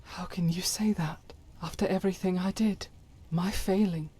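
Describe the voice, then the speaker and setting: A woman playing a devout warrior in a game mod, earnest and solemn, with halting formal phrasing and breathy whispers. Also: on the low side, fairly even intonation, warm tone. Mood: humbled, tender. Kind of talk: dramatic video game mod voice acting.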